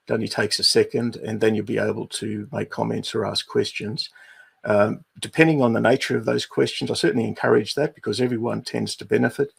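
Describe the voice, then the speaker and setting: Gravelly Australian man hosting a livestream. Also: medium pitch. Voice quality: gravelly, husky. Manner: unhurried, many uh and um fillers. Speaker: livestream host, business advisor. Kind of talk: livestream housekeeping talk.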